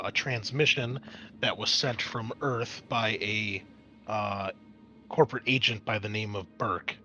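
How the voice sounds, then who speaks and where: A man's voice: fairly low pitch, pushed and bright, a little hiss or hum. A man narrating a tabletop roleplaying game as game master, in an explanatory, conversational way.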